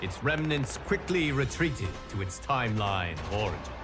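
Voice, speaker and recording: An actor playing a solemn guardian of timelines, narrating a video game story in a formal, measured, dramatic manner. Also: male voice, fairly low pitch, big pitch swings. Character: solemn male guardian of timelines addressing his champions. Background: music.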